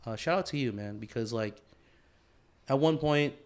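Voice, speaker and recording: A man co-hosting a podcast, thinking out loud about himself. His voice sits at an ordinary middle pitch, and he circles back and restarts his sentences often in a loose, reflective way. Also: moderate pace. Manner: reflective, rambling, many restarts and fillers. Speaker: podcast co-host. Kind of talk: casual chat.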